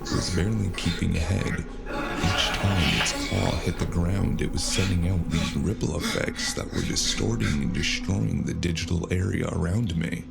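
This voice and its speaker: A man with a gravelly voice narrating a scripted first-person story in a gritty, dramatic way. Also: low voice, fairly even intonation, moderate pace. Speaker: narrator of a cyberpunk audio drama. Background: loud music.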